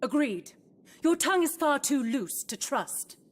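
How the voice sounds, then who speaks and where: An actress voicing a firm, distrustful companion in a fantasy video game, curt and disapproving. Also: female voice, high voice, expressive, measured pace. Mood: stern. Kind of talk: dramatic video game voice acting.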